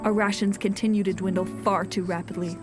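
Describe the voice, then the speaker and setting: A woman playing a grave nineteenth-century ship's captain, reading out a log in a formal, measured way for an audio drama. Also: mid-range voice, fairly even intonation, lightly acted. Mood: stern, suspicious. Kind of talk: scripted audio drama narration. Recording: music under the voice.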